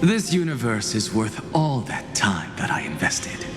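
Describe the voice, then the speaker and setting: An actor playing a flamboyant, smug genius alchemist in a video game, theatrical and self-assured, savouring each word. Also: male voice, mid-range voice, sing-song, unhurried. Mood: exultant, theatrical. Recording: echoing hall reverb under music.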